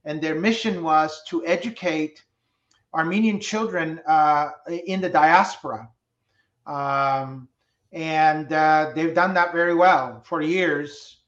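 A man's voice: fairly high pitch, a bit bright. Male guest, middle-aged, from Turkey; reflective and hesitant, dull lo-fi line. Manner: measured, reflective, many filler pauses. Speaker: guest, public policy professor. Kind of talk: interview answers. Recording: roomy, dull lo-fi sound.

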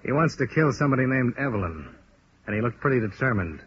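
An actor playing a steady, tough investigator in a 1950s radio detective drama, clipped and matter-of-fact. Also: male voice, medium pitch, quick pace, natural voice. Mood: thoughtful. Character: steady, tough investigator hero who narrates his case. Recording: noisy, dull old radio recording.

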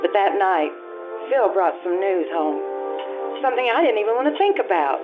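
A woman's voice: medium pitch, big pitch swings, normal speed, narrow, tinny audio, lightly acted. An actress playing a harried, wistful mother and housewife in old-time radio drama style, telling her story expressively. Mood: troubled. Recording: thin radio-like sound with music.

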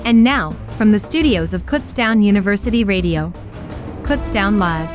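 A woman reading a scripted radio station intro, slow and measured. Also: medium pitch, animated. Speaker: station announcer. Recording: faint music under the voice.

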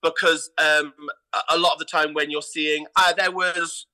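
A man's voice: fairly high pitch, flat delivery, moderate pace, sharp tone, low-quality recording. A British man chatting enthusiastically and casually as a theatre director being interviewed on a podcast.

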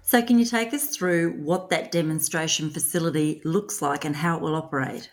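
Woman podcast host, lowish voice, measured ad reads, faint music behind. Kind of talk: scripted ad read and interview questions.

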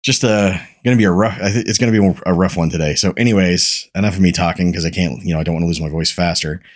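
Low stuffy-nosed dude hosting a podcast, rambly and sweary, kinda losing his voice. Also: steady tone, fast talker. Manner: rambling, profane, laid-back. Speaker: podcast host. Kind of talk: casual podcast chat.

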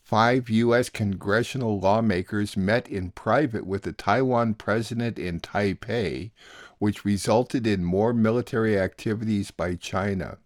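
A man with a fairly low voice presents a radio show. He reads scripted announcements and links between items, and the recording is dry, without room sound. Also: no room sound. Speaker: radio show host and producer.

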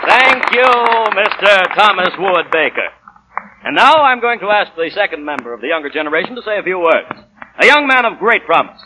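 An actor playing a courteous company toastmaster, making formal introductions in 1940s radio drama style. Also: male voice, high voice, animated, fairly quick. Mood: ceremonious. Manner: ceremonious, formal. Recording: noisy 1940 radio broadcast.

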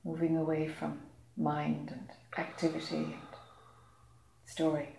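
A woman leading a guided meditation, speaking slowly and softly with long pauses. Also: low-pitched, completely flat delivery, gravelly, slight background noise. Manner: slow, soft-spoken, long pauses. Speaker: meditation teacher. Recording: echoey room.

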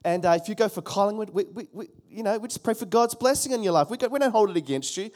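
A middle-aged pastor delivers a sermon with real emphasis, circling back to his key phrases again and again. He calls out to the congregation and asks them to respond. His voice sits a little high for a man. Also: expressive, quick pace, darkish tone.

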